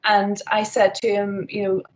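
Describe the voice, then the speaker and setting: A woman's voice: mid-range voice, monotone, slight background noise, rough recording. Chatty woman on a call. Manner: chatty, quick, with restarts. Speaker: author and guest. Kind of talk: casual chat and poetry reading. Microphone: video call.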